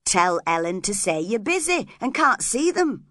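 A woman's voice: mid-range voice, big pitch swings, unhurried, a bit bright, no echo, studio quality. A woman voicing a resentful, jealous young man in a storybook, with a complaining, emphatic manner. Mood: hurt, reproachful.

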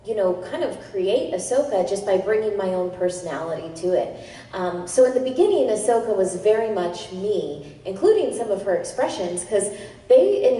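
A woman in her thirties answering fan questions on a convention panel, telling stories in a quick, animated way. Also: medium pitch. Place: large convention hall stage. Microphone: handheld mic heard through hall speakers. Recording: echoey hall recorded from far off.

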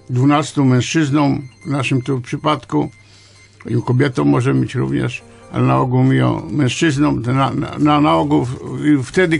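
An older man, a priest, answering in a radio interview in a deliberate, halting, didactic way. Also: a little high, gravelly. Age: older, around 70. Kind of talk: interview answers, explaining church liturgy. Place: small radio studio, indoors. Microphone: studio microphone on boom arm.

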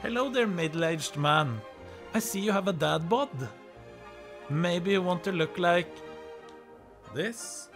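A man's voice: high voice, takes it slowly, pauses often, fairly bright tone, faint music behind. A man in his thirties with a Norwegian accent, chatting playfully and laughing often. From Norway. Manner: playful, laughing often, jokey salesman bits. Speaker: streamer. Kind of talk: casual chat. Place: indoor room and car.

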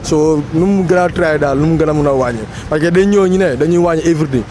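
A man's voice: a little high, rapid-fire, background noise. A man complaining animatedly to a street interviewer outdoors. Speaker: passer-by interviewee. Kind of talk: street opinion.

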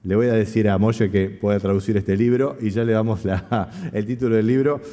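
Middle-aged male moderator, conference introduction, mellow low voice with long hall reverb. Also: steady tone. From Uruguay. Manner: reads a bio with pauses and self-corrections. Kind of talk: formal speaker introduction. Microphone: podium microphone.

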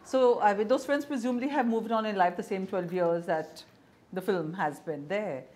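A middle-aged woman, hosting an interview with brisk questions and short affirmations. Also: medium pitch, a little mellow. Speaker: interviewer, show host.

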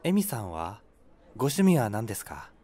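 A man's voice: on the low side, very animated, moderate pace, mellow. An actor playing a vague, socially awkward man on a date in a comedy audio drama, hesitant and noncommittal. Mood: oblivious.

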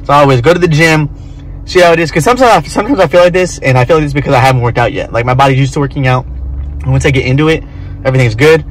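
A man's voice: a little high, racing, slight background noise, low-quality recording. A young man in his twenties talking casually to a phone held at arm's length in his car, laid-back and full of slang, calling the viewer bro and swearing offhandedly. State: tired, feeling off. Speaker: vlogger. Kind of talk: casual vlog talk to camera. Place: inside a parked car.

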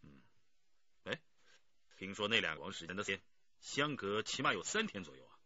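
An avuncular police chief in a radio crime drama. His voice is lowish and very forceful, with lively intonation. He encourages with easy authority. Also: fairly quick, dry recording, muffled recording, exaggerated voice. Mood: inquiring.

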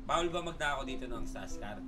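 A young man with a Filipino accent talks brashly into a headset while gaming, with clear music behind him. His mid-pitched voice taunts and swears through casual trash talk. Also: expressive, brisk. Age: young adult. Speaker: livestreamer playing a roleplay game. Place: small room at home, indoors.

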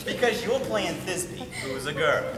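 A bossy stage actor. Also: male voice, high-pitched, animated, normal speed, a crowd in the background. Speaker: actor rehearsing the lead. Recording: echoey hall from far off.

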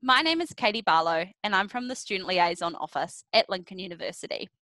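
A young woman asks interview questions in an upbeat way, her voice bright and lively in its rise and fall. She speaks through a headset, and the sound is dry and close. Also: mid-range voice, a little fast, no echo. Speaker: student liaison officer, interviewer.

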